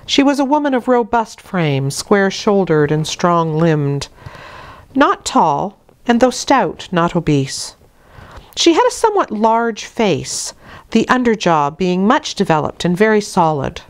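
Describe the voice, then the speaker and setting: A woman reading a classic novel aloud as dramatic narration, with measured, deliberate phrasing. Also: fairly low pitch. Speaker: audiobook reader.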